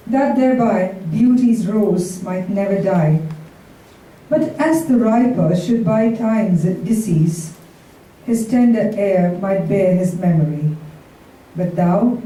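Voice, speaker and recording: A woman reciting a sonnet live in a measured, deliberate way as a poetry reader. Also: medium pitch, mellow. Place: large echoey room at a live event. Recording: very echoey room, distant mic.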